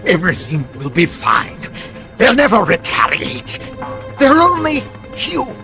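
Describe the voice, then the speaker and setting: A zealous, menacing video game villain with a slow, swooping delivery. He pontificates theatrically and calmly in a creaky voice, sure of his grim theory. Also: high voice, loud music behind the voice, full character voice. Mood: smug reassurance. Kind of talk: dramatic video game voice acting.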